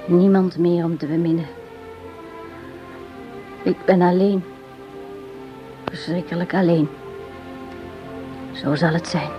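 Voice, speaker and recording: A woman's voice: fairly low pitch, steady tone, takes it slowly, pauses often, over music. An actress playing a brave, devoted woman in grief in a radio drama, with solemn, theatrical phrasing. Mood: desolate grief. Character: grieving devoted woman, brave, tragic, resigned. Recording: muffled old radio drama recording.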